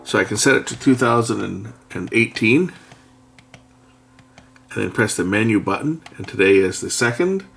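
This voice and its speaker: Gravelly low-voiced man demoing a gadget. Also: lively intonation, a bit bright, soft background music. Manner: thinking aloud while pressing buttons, unhurried. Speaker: product reviewer. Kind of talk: casual hands-on product demo. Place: indoors at a workbench.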